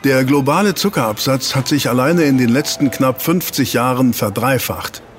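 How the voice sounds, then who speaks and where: A man's voice: mid-range voice, normal speed, faint music behind, lightly acted. A man playing an eager researcher in a German audio drama, explaining to his team and teasing out reveals with rhetorical questions. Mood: explanatory.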